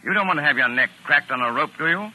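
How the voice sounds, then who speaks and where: A sharp-voiced, sardonic doctor. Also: male voice, on the high side, lively intonation, fast talker, full character voice, low-quality recording. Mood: calculating, persuasive. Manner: urbane, sardonic, calculating. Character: wry, cool-headed male doctor held among gangsters. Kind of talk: 1940s radio acting. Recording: old 1940s radio broadcast recording.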